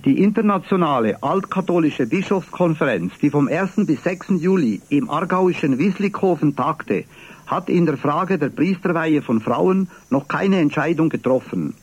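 A man from Valais with a Swiss accent, presenting church news on the radio in a formal, measured reading. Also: fairly high pitch, dry recording. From Valais, Switzerland. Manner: formal, measured, clear delivery.

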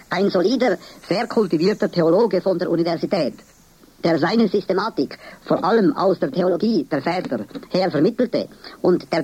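A man from Valais with a Swiss accent, presenting church news on the radio in a formal, measured reading. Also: sits high, a little mellow. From Valais, Switzerland. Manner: formal, measured, clear delivery.

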